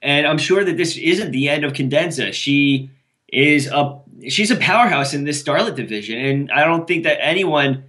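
A young man co-hosting a wrestling podcast in easy, casual chat. His voice is bright and pitched a little high, and he talks quickly with lots of restarts and self-mocking jokes. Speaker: podcast co-host.

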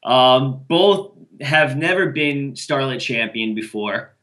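A man's voice: mid-range voice, animated, takes it slowly, fairly bright tone. A young man co-hosting a casual wrestling podcast, chatty and self-mocking, running through results with frequent restarts. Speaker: podcast co-host.

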